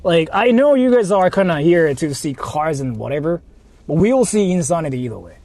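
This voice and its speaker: Male IRL streamer, native Japanese with a Japanese accent, highish animated voice, casual slangy delivery, faint vehicle noise. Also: brisk. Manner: repeats himself, slangy. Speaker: livestreamer. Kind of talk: casual livestream chat.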